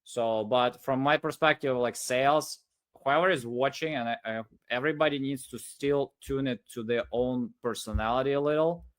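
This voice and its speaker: A man's voice: mid-range voice, moderate pace. A man who speaks English as a second language, giving unscripted sales advice in a rambling, repetitive, emphatic way. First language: non-English first language.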